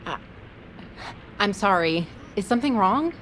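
An actress playing a deferential, diligent catering supervisor in a naturalistic audio drama. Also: female voice, mid-range voice, lively intonation, unhurried, fairly bright tone, slight background noise. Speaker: catering staff leader.

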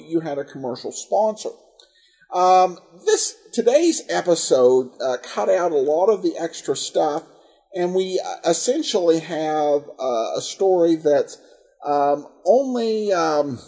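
A man speaks as a podcast host in a fairly high yet dark, relaxed voice. He comments casually and haltingly, feeling for the right word and restarting his phrases as he goes. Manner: halting, searching for words, frequent self-corrections. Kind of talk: casual commentary on old radio detective shows.

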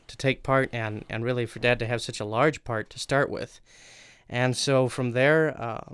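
A man's voice: medium pitch, fairly bright tone, smooth, no room sound. A young man, a guest writer, recounting his work in a casual, informal way.

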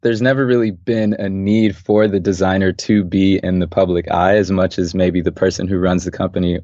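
A young man from Los Angeles talks calmly and thoughtfully as an interview guest, his voice sitting very low. The audio is dull and close, with no room sound at all. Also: no echo. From Los Angeles, USA. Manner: calm, thoughtful, laughs easily. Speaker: podcast guest, artist and designer. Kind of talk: relaxed interview conversation. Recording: dull, dry podcast audio.

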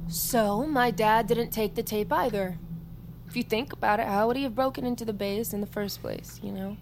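High-voiced, defiant young woman recruit played by an actress. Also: normal speed, background noise, natural voice. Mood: defensive, sarcastic. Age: young adult. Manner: sarcastic, profane, blunt. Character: defiant, sarcastic young female army recruit. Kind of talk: naturalistic audio drama acting.

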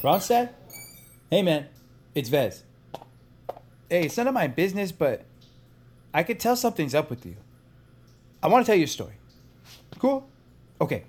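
Animated, chummy fearless male coworker. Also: on the high side, normal speed, long pauses, slightly rough. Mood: concerned. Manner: chummy, colloquial, earnest. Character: casual, fearless coworker confiding a childhood story. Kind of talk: audio drama dialogue, storytelling. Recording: music bed under voice.

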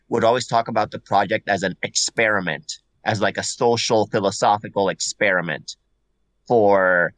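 Low-voiced Puerto Rican man, rambling. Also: fairly bright tone, no room sound, low-quality recording. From Puerto Rico. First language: Spanish. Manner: rambling, restarts phrases, repeats words. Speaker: guest artist. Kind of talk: casual podcast interview.

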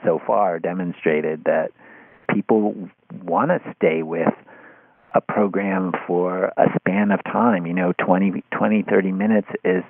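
A man giving conversational commentary as an interview guest, haltingly searching for words and repeating them as he goes. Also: low voice, fairly even intonation, no echo. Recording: tinny phone-like line.

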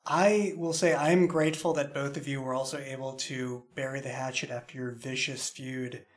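A man talking casually as a show creator on a podcast, halting as he thinks aloud. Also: medium pitch, steady tone. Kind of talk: casual chat in a behind-the-scenes podcast.

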